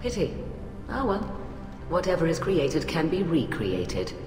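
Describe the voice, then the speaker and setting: An actress playing a cool, calculating alien admiral in a video game, speaking in a measured, formal way. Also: female voice, low voice, very animated. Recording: echoey hall, music behind.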